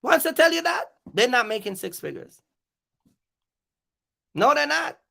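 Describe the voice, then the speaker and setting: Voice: sits high, sing-song, unhurried, vocal fry, pushed and bright. A livestream host on an off-the-cuff rant, speaking emphatically and confrontationally and repeating phrases to drive points home. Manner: confrontational, challenging listeners. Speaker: live stream host.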